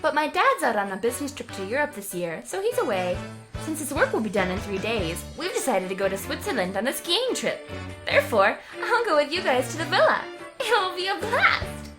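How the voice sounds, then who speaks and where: A woman voicing a cheerful, energetic rich girl who talks in very high, bouncy exclamations. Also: fairly quick, music in the background. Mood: excited. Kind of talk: fan audio drama acting.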